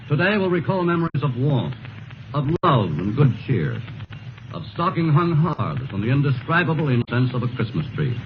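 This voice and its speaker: Lively-voiced 1940s radio announcer man. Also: a little high, lo-fi sound. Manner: solemn, measured announcer delivery. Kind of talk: scripted broadcast narration. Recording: noisy 1940s radio broadcast recording.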